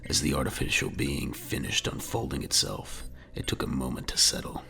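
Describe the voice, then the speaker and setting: Very deep, gravelly man narrating dramatically. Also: animated, normal speed, pushed and bright, slight background noise. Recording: music bed under the voice.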